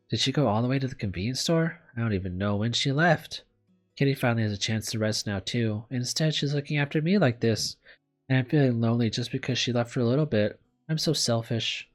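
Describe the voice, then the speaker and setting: A man's voice: mid-range voice, natural voice. A man voicing an anxious, self-doubting young woman teacher sick in bed in a visual novel, hesitant and self-pitying, with music behind. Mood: lonely. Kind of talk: visual novel read aloud. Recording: background music under the voice.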